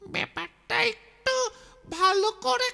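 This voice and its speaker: An actor voicing an irate, blustering bakery owner in a children's cartoon, with a creaky, strained voice and repeated words. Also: very high-pitched, slow pace, exaggerated voice. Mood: puzzled, suspicious. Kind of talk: exaggerated children's cartoon acting.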